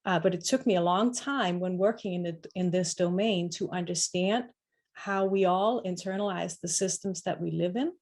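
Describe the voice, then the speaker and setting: A woman speaks as a panelist in an online discussion, her voice sitting a little low. She talks reflectively and often circles back to restart a thought as she works it out. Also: normal speed, a little mellow. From Denmark. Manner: reflective, searching for words with many restarts.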